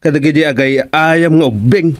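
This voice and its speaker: A man's voice: fairly high pitch, moderate pace, natural voice. An actor with a Filipino accent playing a teasing, exclaiming village watchman, a man in a radio comedy-drama. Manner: exclaiming, repetitive.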